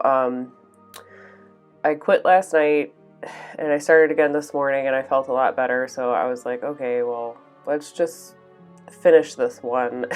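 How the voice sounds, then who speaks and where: A young woman with a cold and a nasal voice, chatting casually in a voice-over while she paints, with lots of ums and small laughs. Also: extremely low pitch. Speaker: art channel host.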